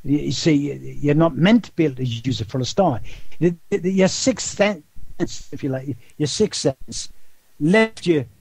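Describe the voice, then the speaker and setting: A man's voice: a little high, animated, moderate pace, a little mellow, husky, no echo. An older man with a Geordie accent, a guest in an interview, talking in a rambling way with repeated words and rhetorical questions. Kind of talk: casual phone-style interview talk.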